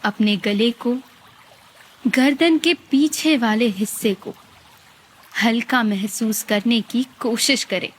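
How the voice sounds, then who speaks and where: A woman guides a meditation, giving calm, gentle instructions with long pauses. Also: fairly high pitch, slightly rough.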